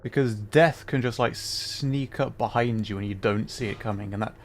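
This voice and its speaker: A man's voice: on the low side, moderate pace, slight background noise. A man hosting a gameplay livestream with casual, chatty, self-deprecating commentary. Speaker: livestream host.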